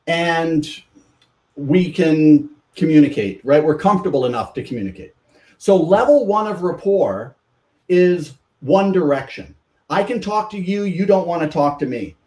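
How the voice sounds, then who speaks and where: A man's voice: fairly high pitch, darkish tone. Man teaching from a distance, echoey. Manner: explanatory, teaching with examples. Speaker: podcast guest, communication coach. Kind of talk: podcast interview.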